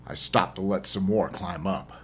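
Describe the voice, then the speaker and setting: A man reading his own horror novel as dramatic first-person narration, husky and intense in delivery. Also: low-pitched, moderate pace, slight background noise. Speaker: author reading own audiobook.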